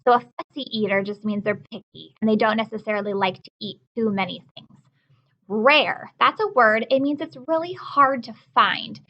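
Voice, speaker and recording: A woman's voice: fairly high pitch, normal speed, muffled recording. A woman teacher giving a read-aloud lesson for children, explaining words simply and repeating key points.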